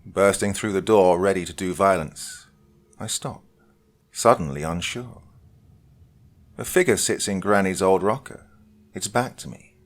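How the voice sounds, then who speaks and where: A man reading a first-person horror story dramatically, swinging between loud lines and whispers. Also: sits low, a little slow, pauses often. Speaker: story reader.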